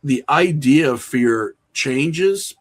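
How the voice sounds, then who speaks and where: A man's voice: medium pitch, lively intonation, very slow. A middle-aged man chatting casually as a podcast guest, candid and self-mocking, with loose profanity. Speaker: podcast guest, horror filmmaker and writer. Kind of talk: casual interview chat.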